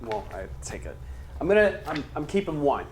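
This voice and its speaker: A player in a tabletop game, talking playfully in character and haltingly repeating words. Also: mid-range voice, big pitch swings, slight background noise, low-quality recording.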